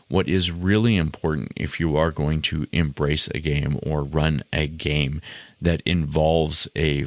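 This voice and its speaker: A man hosting a podcast, delivering scripted explanatory narration in a measured, formal, lecture-like way. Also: rumbling low, dry recording. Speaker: podcast host; game master.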